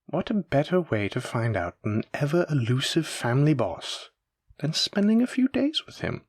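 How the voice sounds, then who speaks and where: A man's voice: a little high, sing-song, moderate pace, dry recording, clean studio sound. A man playing a suave, menacing mafia boss in a roleplay audio drama, with a theatrical, teasing and condescending manner. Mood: playful, commanding. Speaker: voice actor. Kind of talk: scripted roleplay audio drama addressed to listener.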